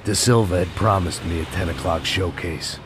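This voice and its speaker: Man voicing very deep, jaded American action hero. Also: moderate pace, background noise, lightly acted. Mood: wry, weary. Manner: sardonic, deadpan. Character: jaded, world-weary middle-aged American male action hero. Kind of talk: noir voice-over narration in an action game. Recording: loud music behind the voice. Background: loud music.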